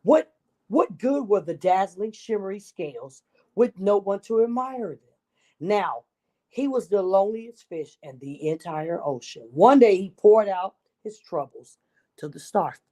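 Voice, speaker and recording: Slow, mellow woman storyteller. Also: medium pitch. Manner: expressive, with asides to young listeners. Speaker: children's storyteller. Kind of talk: read-aloud storytelling for young children.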